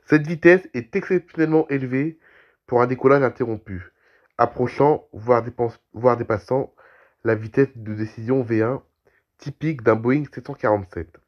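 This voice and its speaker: A man with a cold reads aviation news aloud, clearing his throat and restarting phrases. His pitch is mid-range and his pace is steady. The recording is a little dull. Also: normal speed. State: has a cold, coughing. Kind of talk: reading aviation news with commentary.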